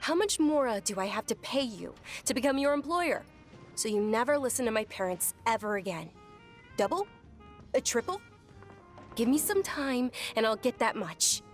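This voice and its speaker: A woman's voice: a little high, big pitch swings, normal speed, faint music behind. An actress playing a polite but headstrong young noble lady in a game, speaking earnestly. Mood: defiant. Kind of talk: game voice acting.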